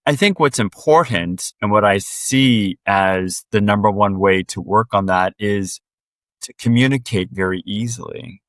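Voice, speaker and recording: Earnest, direct man with a low voice. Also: measured pace, fairly bright tone, dry recording. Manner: earnest and direct, speeding up when emphatic. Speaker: podcast guest. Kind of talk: reflective conversational interview.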